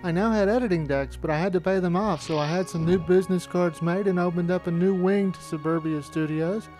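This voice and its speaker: A man's voice: sits high, brisk, darkish tone, faint music behind, low-quality recording. A middle-aged American man narrating a look back at his amateur filmmaking. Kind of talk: retrospective narration to camera.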